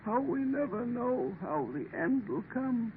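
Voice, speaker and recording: An actor playing a weak, dying ship's parson, meek and halting, in a 1950s radio drama. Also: male voice, very high-pitched, sing-song, full character voice. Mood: resigned, fading. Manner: faint, halting, plain-spoken speech. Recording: tinny, noisy old radio recording.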